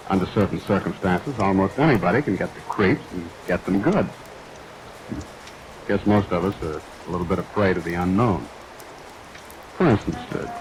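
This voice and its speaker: Low-voiced, sly radio mystery sergeant played by a man. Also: close to an everyday voice. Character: sergeant hosting spooky mystery show, sly and folksy. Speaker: show host. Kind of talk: 1940s radio mystery introduction. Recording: noisy vintage radio recording, rain behind.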